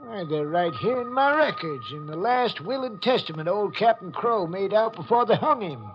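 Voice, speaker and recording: An actor playing a folksy old village record keeper with rustic phrasing, in a 1940s radio mystery. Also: male voice, high-pitched, lively intonation, normal speed, lo-fi sound, soft background music. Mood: chatty reminiscing. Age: older.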